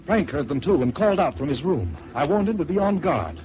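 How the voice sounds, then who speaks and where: A man hosting an old radio storytelling show, reading a ghost story aloud in a measured, theatrical way. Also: a little high, big pitch swings, over music. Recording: muffled old radio broadcast with hiss.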